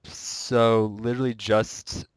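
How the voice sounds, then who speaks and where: A young man speaks through a stuffy nose, his nasal, congested voice sitting deep and low. He rambles casually as a podcast co-host, often restarting his sentences. The sound is dry, with no room echo. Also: level tone, slow, clear, resonant tone. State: sick and stuffed up. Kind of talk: casual gaming podcast chat.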